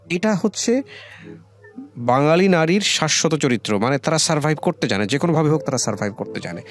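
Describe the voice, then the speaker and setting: Bangladeshi male radio host over music. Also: medium pitch. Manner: reflective, deliberate, mixes in English words. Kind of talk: conversational life-story radio interview. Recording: music under the voice. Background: background music.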